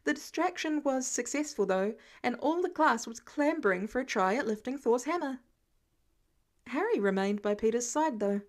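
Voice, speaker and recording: A woman with a fairly high voice narrates fiction from a script. She reads as an amateur audiobook narrator. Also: expressive, normal speed.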